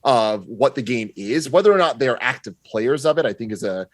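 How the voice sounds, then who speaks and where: A man's voice: medium pitch, animated, moderate pace, no echo, studio quality. A man speaking as a guest on a podcast, chatting casually and giving his opinions.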